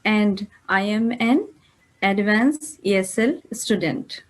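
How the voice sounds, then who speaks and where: A woman in her thirties from North India, a Hindi speaker with a North Indian accent, giving a class presentation over a video call with hesitant pauses. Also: mid-range voice. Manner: unhurried, with hesitant fillers. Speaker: advanced ESL student. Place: home room.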